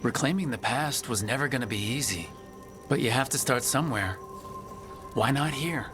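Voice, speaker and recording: A man playing a steady, supportive hero and team leader in a video game, speaking in a calm, reassuring way. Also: mid-range voice, normal speed, lightly acted. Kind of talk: video game dialogue acting. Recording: clear game music behind the voice. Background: game music.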